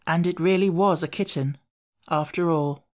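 A woman reading a children's classic as an audiobook narrator, in an expressive storytelling manner. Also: on the low side, normal speed.

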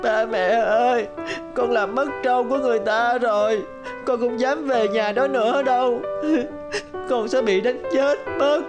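A woman's voice: fairly high pitch, fairly even intonation, measured pace, over music, natural voice. A woman voicing a small, anxious orphan herd boy in a childlike, plaintive cartoon manner. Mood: tearful.

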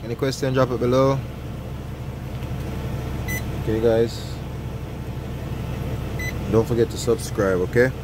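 Upbeat trucker vlogging from his cab. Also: lowish voice. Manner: upbeat, chatty, laughs often, sing-song greetings. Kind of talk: casual vlog tour and cooking show. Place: inside a truck sleeper cab. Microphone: handheld phone camera. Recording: noisy truck cab with engine and AC hum.

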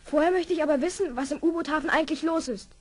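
A woman's voice: sits high, quick pace, natural voice. A woman playing an eager, outspoken child detective in a children's radio play. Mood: urgent, inquisitive.

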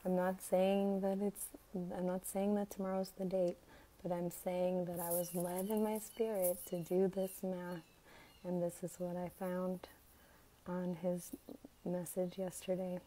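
An American woman in her thirties with a raspy voice, speaking haltingly and casually in a devotional vlog. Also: on the low side, little pitch movement, mellow, low-quality recording. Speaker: religious content creator. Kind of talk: casual devotional vlog about scripture numbers.

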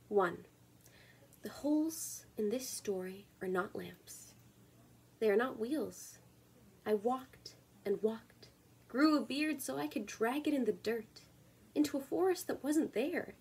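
A woman in her twenties reading poetry and chatting casually on her own video at home, in a measured, deliberate way. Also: fairly high pitch, gravelly, mellow, slightly rough. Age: 20s. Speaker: poetry reader and video creator. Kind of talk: spoken word poetry reading with casual introduction. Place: small room at home, indoors.

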